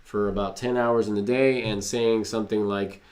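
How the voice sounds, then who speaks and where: Colombian-born man, low-voiced co-host. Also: moderate pace. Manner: relaxed, reflective, mixes in Spanish. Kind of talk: casual livestream chat.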